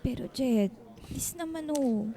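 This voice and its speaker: A woman's voice: high-pitched, slow pace, mellow. An actress playing a grateful, hesitant single mother in a Filipino radio drama, pleading and emotional. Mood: pleading, worried.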